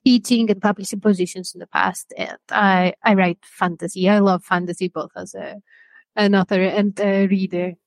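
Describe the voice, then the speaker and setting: A woman, young adult, speaks English as an in a podcast interview. She talks in a measured way and stops often to find her words. Her voice sits in an ordinary middle pitch and the recording is close and dry. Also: steady tone, no room sound. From Greece. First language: Greek. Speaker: author guest.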